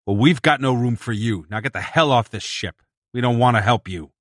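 A man voicing a huge broad-chested male mutant who speaks loudly, harshly and with contempt. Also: medium pitch, very animated, measured pace, dry recording, studio quality, pitched above the performer's own voice. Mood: angry.

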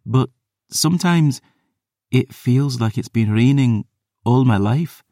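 A man's voice: mid-range voice, slow, no echo, studio quality, voice pushed up. A man voicing a grief-stricken young maid, trembling and then breaking into anguished outbursts. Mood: mournful. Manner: trembling, turning to anguished shouting.

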